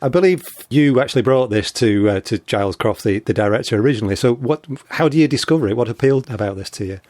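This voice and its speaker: A man hosts a conversational interview, phrasing things piece by piece. His voice is medium in pitch, recorded dry with very little room sound. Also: fast talker, darkish tone, no echo. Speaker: interviewer and host.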